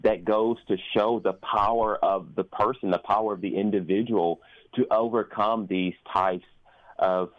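A man with a deep voice talks in an earnest, reflective way, as a guest in an interview. He pauses and doubles back on phrases while he thinks. The sound is close and dry but dull and lo-fi. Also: fairly even intonation, no room sound. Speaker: guest, performer, teacher and activist. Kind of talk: earnest interview conversation about community events.

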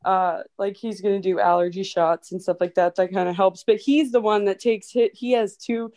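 Bubbly woman guest, easy laughs, mid-pitched. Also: steady tone, moderate pace, warm tone. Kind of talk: casual video interview.

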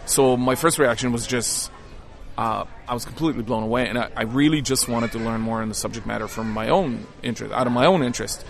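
A man's voice: mid-range voice, quick pace, vocal fry. Man from Israel, conversational interviewee. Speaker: film director being interviewed.

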